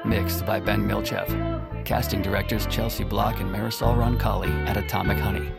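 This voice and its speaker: A man's voice: on the low side, flat delivery, moderate pace, gravelly, loud music behind the voice. A man reading scripted end credits as an announcer, item by item.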